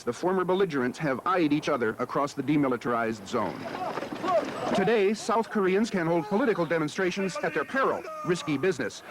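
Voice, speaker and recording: A man's voice: a little high, background noise. An American man reading scripted narration for a broadcast history documentary.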